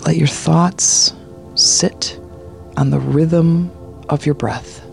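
A meditation guide speaking in a soothing, hushed manner, leading a guided relaxation. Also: mid-range voice, fairly even intonation, extremely slow, gravelly, faint music behind. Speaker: meditation guide, podcast host.

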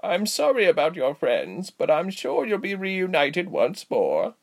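A man voicing a cheerful, giggly elderly village healer woman in a storybook, with a slow, kindly teasing delivery. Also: fairly high pitch, huge pitch swings, pitched above the performer's own voice, exaggerated voice. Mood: kindly, amused. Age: older. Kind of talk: storybook character voice.

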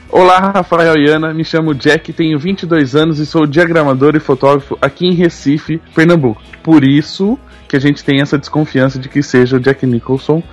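A man hosting a talk show, teasing his co-host and reading out listener mail. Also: a little high. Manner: joking, teasing banter. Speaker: podcast host.

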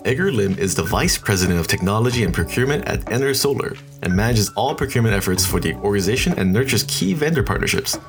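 A man with a fairly low voice hosts a podcast and reads a smooth, prepared introduction. Music plays clearly under his voice. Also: lively intonation, quick pace, few pauses. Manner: reads a polished introduction, then brief reactions. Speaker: podcast host. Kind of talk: scripted intro and casual interviewing.

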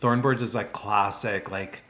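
A man's voice: fairly low pitch, fairly even intonation, a little slow, pushed and bright. A man co-hosting a casual chat who talks with enthusiasm, gasping and drawing out his words. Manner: enthusiastic, gasps, lingers on words. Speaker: podcast co-host.

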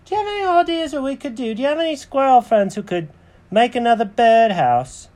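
A man's voice: very high-pitched, lively intonation, a little fast, a little mellow, voice pushed up. A man voicing a chatty, vain male cat in a children's story, full of dramatic questions and exclamations. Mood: eager.

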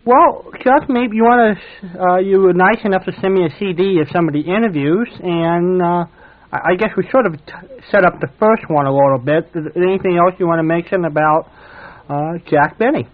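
A man hosting a radio show, asking questions in a halting, rephrasing way. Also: high voice, phone-quality sound. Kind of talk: casual interview chat.

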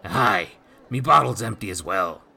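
A man doing a cocky gravelly rogue thief. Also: on the low side, expressive, unhurried, bright, forceful tone, voice pushed up, full character voice. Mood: swaggering. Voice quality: gravelly, swaggering, ungrammatical dialect. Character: roguish scheming male thief, cocky, adult.

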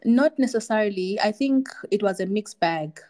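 A woman's voice: mid-range voice, lively intonation, normal speed, mellow. A woman from Tanzania co-hosting a casual podcast, telling stories in a chatty, playful way. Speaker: podcast co-host.

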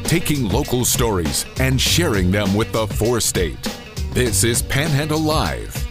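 A man's voice: mid-range voice, sing-song, unhurried. Radio promo guy, very up and down delivery, scripted, guitar music behind him. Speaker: radio program announcer. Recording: produced promo over music bed.

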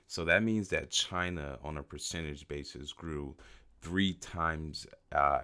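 A man hosting a casual solo podcast, a little sick and slightly raspy, talking conversationally with frequent "you know" asides. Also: very deep voice, bright, forceful tone. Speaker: podcast host.

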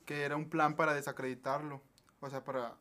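A young man with a Mexican Spanish accent talks in a loose, meandering way, often pausing on fillers and restarting his sentences. His voice sits a little on the high side. It has the easy feel of a casual podcast conversation. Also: level tone, sharp tone. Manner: rambling, full of fillers and self-corrections. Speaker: podcast co-host. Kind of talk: casual chat.

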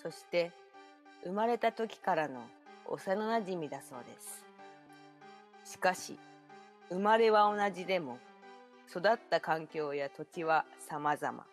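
A woman playing the mysterious narrator of an eerie radio drama, speaking in a measured, solemn storyteller's way. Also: on the low side, lively intonation, soft background music. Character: mysterious female narrator of eerie anthology tales.

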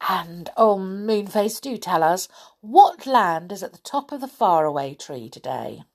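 A woman voicing a kind fairy girl who calms her friends and offers cakes, in a storybook reading. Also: medium pitch, measured pace. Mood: coaxing. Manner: soothing, coaxing.

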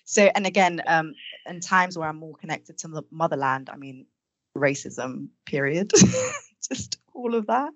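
A woman born in Denmark with East African heritage speaks on a podcast panel. Her voice sits very low, and she talks in a reflective, candid way. The recording is dry. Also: expressive, a little slow, fairly bright tone, no echo, poor audio. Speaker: panel guest, consultant. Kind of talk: conversational podcast discussion.

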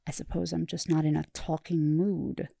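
A woman's voice: low voice, moderate pace, creaky, no room sound, studio quality, heavily acted. Woman doing a sneering, sulky robot jet. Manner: sneering, deadpan.

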